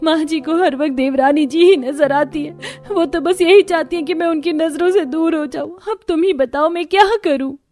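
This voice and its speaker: Woman playing meek, high-voiced young daughter-in-law. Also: normal speed, darkish tone, faint music behind, natural voice. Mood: plaintive. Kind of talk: scripted cartoon voice acting.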